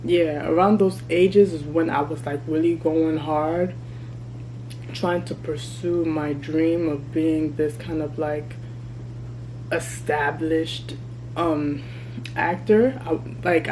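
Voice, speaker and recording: A woman in her thirties talking candidly to her vlog camera while she eats, chatty and relaxed. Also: deep voice, slight background noise, low-quality recording. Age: 30s. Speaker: vlogger. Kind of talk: casual mukbang vlog chat. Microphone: camera mic.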